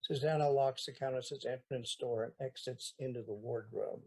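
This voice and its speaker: A man's voice: mid-range voice, normal speed, vocal fry, a little mellow, slightly rough, low-quality recording. A man with a mid-range voice reads stage directions for an audio play. He sounds plain and matter-of-fact. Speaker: narrator.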